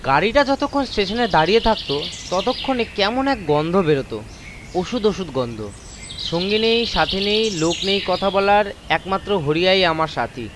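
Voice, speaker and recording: A man's voice: high-pitched, animated, normal speed, background noise. A man narrating a first-person horror story in a dramatised audiobook style. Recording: constant nature ambience effects behind voice.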